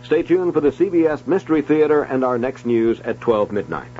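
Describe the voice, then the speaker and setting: A man's voice: mid-range voice, brisk, dark tone. A man reading a scripted radio news sign-off as a newscaster. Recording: narrow, hissy old radio recording.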